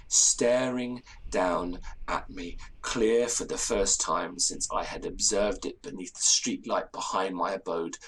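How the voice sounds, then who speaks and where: A haunted gentleman tells a gothic ghost story in a lowish voice. His phrasing is formal and grave, full of dread. Faint noise and ambience sit behind him. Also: vocal fry, full character voice, low-quality recording. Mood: horrified. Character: haunted, fearful gentleman recounting a ghostly night. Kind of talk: dramatic first-person gothic storytelling.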